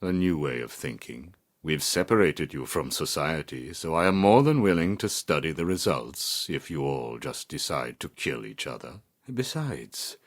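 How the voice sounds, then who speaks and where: An actor playing a calm, manipulative psychiatrist villain in a video game, speaking in a measured, formal, condescending way with cold menace. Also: male voice, very deep voice, heavily acted. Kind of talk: dramatic video game voice acting.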